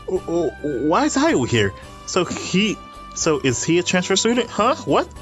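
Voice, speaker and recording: A man voicing an excitable, dramatic teenage schoolboy from a visual novel, exclamatory and stammering, with music behind. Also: sits high, measured pace, voice pushed up, heavily acted. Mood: shocked.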